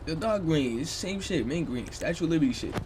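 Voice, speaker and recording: A man's voice: a little high, animated, fast, background noise. A young man streaming a police roleplay session, giving loose, slangy instructions to his players over a headset.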